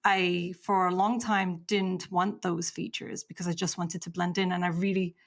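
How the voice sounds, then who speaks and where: A woman hosting an interview, speaking in a low, flat tone with a gravelly edge. She talks personally and hesitantly, pausing often with soft fillers. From Egypt, raised in England. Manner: warm, personal, hesitant with many "um"s. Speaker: podcast host. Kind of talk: conversational interview.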